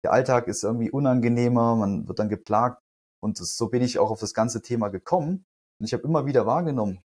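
Husky-voiced man, earnest health coach. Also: medium pitch, fast, darkish tone. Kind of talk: podcast conversation.

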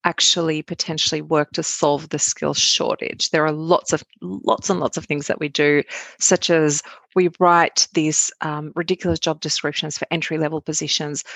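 A woman's voice: deep voice, moderate pace, creaky, no room sound. A woman in her forties, a researcher answering interview questions in a candid, storytelling way. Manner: candid, animated storytelling with asides. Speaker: guest, cybersecurity researcher.

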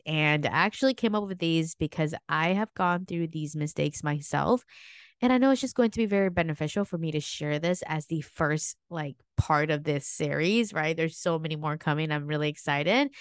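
A woman's voice: deep voice, smooth, no echo, studio quality. Stuffy-nosed upbeat woman podcaster. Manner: chatty, upbeat, frequent "like" and "okay?". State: stuffy nose. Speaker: podcast host and weight loss coach. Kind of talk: casual solo podcast talk.

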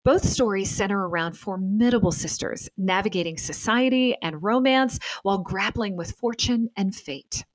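Woman podcast host, really animated pitch, super dry close sound. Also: dry recording. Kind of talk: scripted narration and interview talk.

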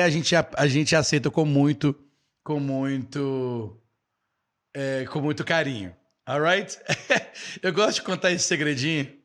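A man with a Brazilian Portuguese accent runs a live English lesson, explaining with playful imitations. His voice sits a little high and he talks in an animated, friendly-teacher way while answering viewers' comments.

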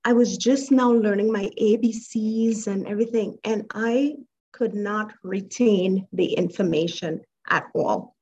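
A woman's voice: mid-range voice, a little hiss or hum. A woman from Trinidad and Tobago, a guest telling her life story in an interview, reflective and hesitant, over muffled call audio. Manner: reflective, unhurried, with soft laughs and hesitations.

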